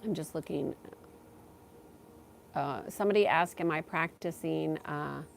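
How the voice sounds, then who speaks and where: A woman host chatting casually on a livestream as a spiritual guide, thinking aloud in a halting way as she takes viewer questions. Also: low voice, creaky, slight background noise.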